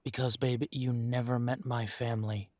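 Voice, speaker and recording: A man acts out a male werewolf in an intimate audio roleplay. His medium-pitched voice is very dry, with no room around it. He speaks to his mate in a halting, exasperated way, weary and torn. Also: flat delivery, darkish tone, no room sound, lightly acted. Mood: troubled, reluctant. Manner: exasperated, halting, sighing delivery.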